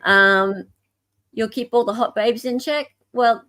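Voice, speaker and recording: A woman's voice: a little high, fairly even intonation, takes it slowly, pushed and bright. Playful, chatty Australian woman. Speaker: livestream host. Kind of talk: casual livestream chat.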